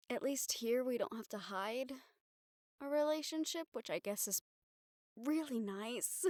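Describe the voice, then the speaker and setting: A woman acting a young reporter girlfriend in an intimate audio roleplay, wistful but bravely upbeat, musing in halting, expressive phrases. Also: on the high side, no echo, studio quality, natural voice. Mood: soft, teasing.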